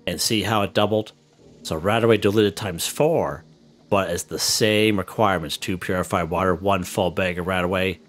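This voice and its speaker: Brief: a man with a low voice, a bit worn as he loses it, explaining a game in a relaxed step-by-step way, faint music behind. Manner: relaxed, walks viewers through step by step. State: losing his voice. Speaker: gaming channel host. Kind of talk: casual gameplay tutorial commentary.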